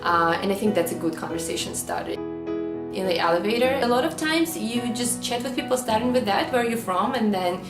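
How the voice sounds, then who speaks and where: A woman's voice: mid-range voice, lively intonation, brisk. A friendly, conversational woman with a foreign accent speaks in a room with noticeable echo. Music sits underneath her voice. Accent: non-native English accent. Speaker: resident.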